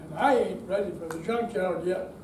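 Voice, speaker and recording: A woman's voice: lowish voice, moderate pace. An older woman reciting song lyrics as spoken lines at an amateur live performance. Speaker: amateur readers theater performer. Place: indoor senior center room, live performance. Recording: roomy, distant mic, noisy hall.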